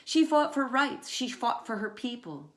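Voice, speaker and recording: A woman author reading her children's picture book aloud in deliberate short phrases, with dramatic emphasis on key words. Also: a little high, very animated.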